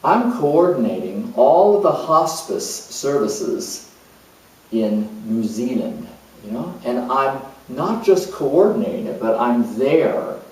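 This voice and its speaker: An actor playing a calm, spiritual hospice coordinator who speaks in an earnest, searching way with many pauses. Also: male voice, mid-range voice. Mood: reflective. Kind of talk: dramatized monologue. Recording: very echoey room, mic far off.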